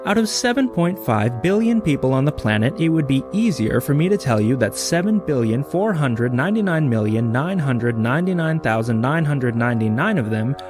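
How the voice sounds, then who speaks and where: A man narrating an audio drama from a script in a measured storytelling manner. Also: medium pitch, very animated, music in the background.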